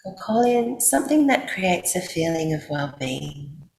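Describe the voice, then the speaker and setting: A woman with an Australian accent guides a sleep meditation in a soothing, unhurried way, leaving long pauses. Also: deep voice, big pitch swings, creaky, a little mellow. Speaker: yoga nidra guide. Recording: roomy echo, faint background noise.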